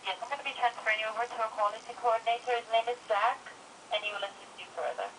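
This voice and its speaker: A woman's voice: a little high, rapid-fire. A woman working as a customer service agent on a phone call, asking questions in a polite, procedural way. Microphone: telephone. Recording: distant, noisy telephone line.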